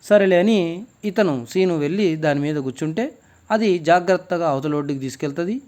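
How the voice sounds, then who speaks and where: A man's voice: a little high, moderate pace, a little mellow. A man telling a children's moral story informally, explaining as he goes and mixing in English words. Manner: conversational, explanatory, mixes in many English words.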